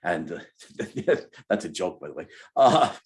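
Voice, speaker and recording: A man with a Brooklyn accent talking casually in an interview, haltingly, repeating words and phrases as he goes. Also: low-pitched, big pitch swings, a little hiss or hum. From Brooklyn, New York. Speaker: author being interviewed.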